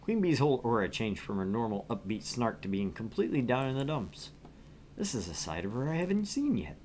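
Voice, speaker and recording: A man's voice: medium pitch, big pitch swings, brisk, a little mellow, a little hiss or hum. A man co-hosting a gaming stream, reading a visual novel aloud with casual asides.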